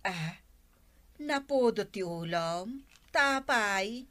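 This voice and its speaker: Woman as a bright-voiced, argumentative Ilocano villager. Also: medium pitch, animated, extremely slow. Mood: exasperated. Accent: Filipino, Ilocano. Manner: questioning, argumentative. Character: village woman bickering with a complaining neighbour. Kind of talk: amateur comedy skit acting.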